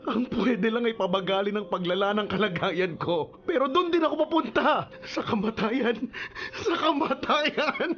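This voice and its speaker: A male actor playing an anguished, remorseful, gravely ill husband in a Filipino radio melodrama, with a Filipino accent and an emotional, pleading delivery. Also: extremely high pitch, normal speed, fairly bright tone, dull audio. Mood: despairing, bitter. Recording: music under the dialogue.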